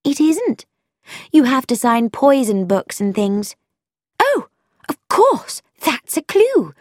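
Woman doing an eager young woman sleuth, high, emphatic, lively. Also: slow pace, no echo, clean studio sound, heavily acted. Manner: excited, emphatic, higher-voiced.

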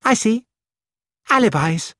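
A woman's voice: mid-range voice, expressive, measured pace, dry recording, studio quality. A woman reading the part of a cheerful, frank young actress who speaks breezily and bluntly. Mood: breezy. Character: flighty, self-assured young actress wife.

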